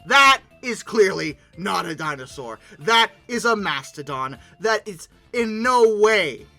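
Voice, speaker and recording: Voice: mid-range voice, big pitch swings, slow, bright, forceful tone, faint music behind. A host giving comedic commentary on pictures in informal, slangy talk, with exasperated, dramatic emphasis. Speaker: host of a paleoart commentary show.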